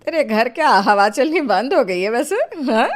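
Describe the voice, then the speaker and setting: A woman's voice: sits high, big pitch swings, slow, full character voice. A woman voicing an exasperated older mother-in-law in a family storybook tale, bossy, nagging and sarcastic. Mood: nosy, bossy.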